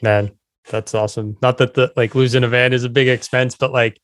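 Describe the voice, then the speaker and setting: A man's voice: mid-range voice, flat delivery, brisk, no echo, studio quality. A man hosting a podcast, chatting in a laid-back, slangy way with some swearing. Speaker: podcast host interviewing a musician. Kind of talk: casual chat.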